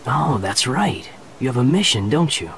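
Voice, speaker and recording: A man's voice: mid-range voice, sing-song, moderate pace, a bit bright, a little hiss or hum. An actor playing an easygoing, teasing yet resolute young male ninja in an anime dub, casual and earnest. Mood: friendly.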